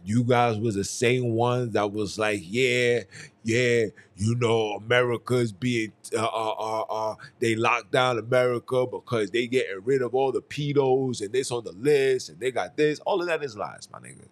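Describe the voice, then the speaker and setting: A young man hosting a podcast on his own, talking in a heated, emphatic voice that sits at an ordinary middle pitch for a man. He repeats phrases for weight and keeps up a loose, conversational flow. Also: moderate pace. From Haiti. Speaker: podcast host. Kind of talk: casual solo commentary.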